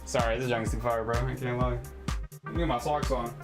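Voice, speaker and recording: A man with a mid-range voice talks to his livestream chat as he plays a visual novel. He is casual, slangy and jokey, swearing freely, with game soundtrack music clearly playing behind him. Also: medium pitch, fairly even intonation, brisk.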